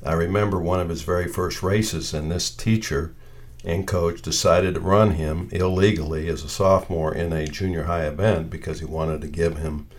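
An American man of about seventy, casually reminiscing in a halting, meandering way as he searches for details. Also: low voice, fairly even intonation. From northeastern Ohio, United States. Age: around 70.

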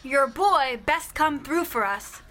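A woman voicing a curt, dry market vendor in an audio drama. She is high-pitched and slow, with lively swings in her short replies. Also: heavily acted. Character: curt, deadpan female fruit-stand vendor.

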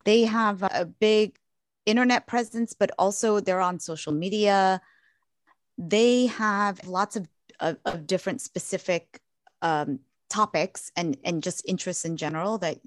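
A woman clinician who works with children and teens speaks in a measured, halting way in a casual interview, with many restarts. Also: lowish voice, no echo. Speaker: child and adolescent mental health clinician.

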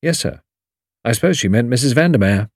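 A man's voice: mid-range voice, animated, fairly quick, a little mellow, dry recording, studio quality. A man voicing a modest, eager young Englishman in an audiobook, frank and respectful in his manner. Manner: deferential, frank. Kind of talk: audiobook character dialogue.

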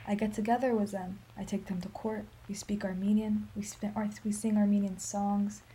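An actress playing a sorrowful, dignified old Armenian woman in a radio play, telling her story in a solemn, measured, emphatic way. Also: mid-range voice, flat delivery, dark tone, close to an everyday voice, poor audio. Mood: pleading, resolute.

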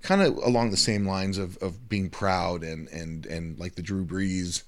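A deep-voiced middle-aged man co-hosting a casual podcast. He rambles, stacks up repeated words and gets animated as his stories build. His voice sits very low. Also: unhurried. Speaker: podcast co-host. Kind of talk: casual chat.

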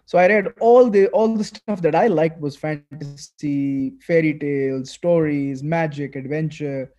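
A man from Pakistan, a guest author talking casually in an interview, animated and full of restarts. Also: a little high, measured pace. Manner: animated, many restarts and fillers.

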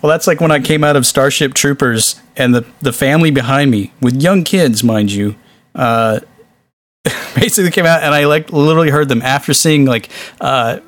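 A man's voice: mid-range voice, moderate pace, dry recording. A man hosting a casual chat podcast in an easygoing, joking way. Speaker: podcast host.

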